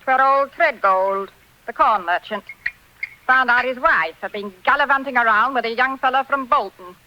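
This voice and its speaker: A woman's voice: fairly high pitch, normal speed, bright, forceful tone. An actress playing a blunt, rustic village innkeeper woman who gossips matter-of-factly, in 1940s radio acting style. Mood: matter-of-fact, grim. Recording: noisy, muffled 1940s radio recording.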